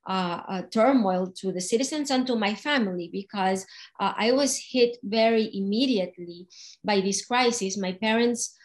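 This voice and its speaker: A woman in her forties, from Venezuela, answering interview questions slowly and thoughtfully on a video call from a room at home. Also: medium pitch. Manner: slow, thoughtful, with fillers. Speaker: guest, crypto fund founder. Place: home room with window, indoors.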